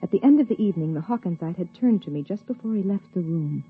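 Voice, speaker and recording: Wary wife, measured, lowish voice, faint music, dull old radio sound. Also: female voice, expressive, slightly rough. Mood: reflective. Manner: measured, reflective narration. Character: wary, observant wife and biologist. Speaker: narrator and lead character. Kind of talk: 1950s radio drama acting. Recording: dull lo-fi 1950s radio broadcast.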